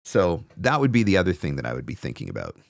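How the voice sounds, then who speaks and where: A man's voice: deep voice, huge pitch swings, fast talker, vocal fry, dry recording. A man in his forties hosting a casual advice livestream as a coach, conversational and emphatic, repeating phrases for stress, close on a desk mic in a home studio. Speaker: host and voice-over coach. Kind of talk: casual livestream advice, answering viewer questions. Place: small home studio, indoors. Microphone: close desk microphone on a stand.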